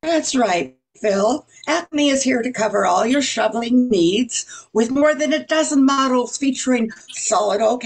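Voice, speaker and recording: A woman playing a radio announcer, reading scripted old-time commercials with a husky, creaky voice. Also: fairly high pitch, exaggerated voice, rough recording. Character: cheerful female radio ad announcer.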